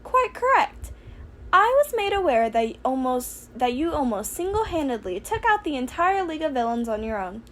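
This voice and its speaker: A woman's voice: high voice, very animated, moderate pace, a bit bright. A woman voicing a small, courteous male school principal in a fan fiction audiobook, speaking in polite, formal phrases. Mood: polite, chirpy.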